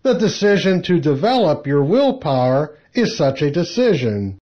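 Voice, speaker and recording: A man reading a spiritual lecture aloud in a measured, deliberate way. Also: on the high side, big pitch swings. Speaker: lecture reader. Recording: dull, muffled lo-fi audio.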